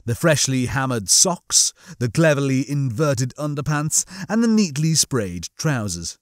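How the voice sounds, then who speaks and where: A man reading comic fiction as a scripted audiobook, with a theatrical, expressive storytelling manner. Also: medium pitch, a little slow, no room sound, clean studio sound. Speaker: audiobook narrator.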